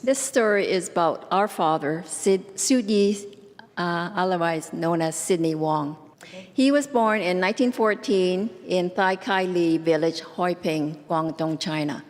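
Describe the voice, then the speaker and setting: Older woman, Canadian accent, guest speaker, measured, slightly low voice, long hall reverb. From Vancouver, Canada. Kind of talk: reading a family history. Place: museum event hall, indoors.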